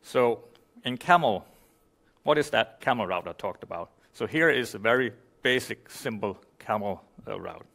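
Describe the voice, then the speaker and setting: A man from Denmark, giving a relaxed technical conference talk and explaining with many "you know" fillers. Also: lowish voice, moderate pace, creaky. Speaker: conference speaker. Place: conference room.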